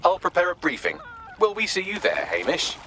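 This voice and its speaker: A witty, articulate machine assistant in a game cutscene. The voice is bright and rather high for a man, with dry delivery. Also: huge pitch swings, brisk, slight background noise, exaggerated voice. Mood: dry, wry. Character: crisp, dry male artificial intelligence assistant. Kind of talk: video game cutscene acting.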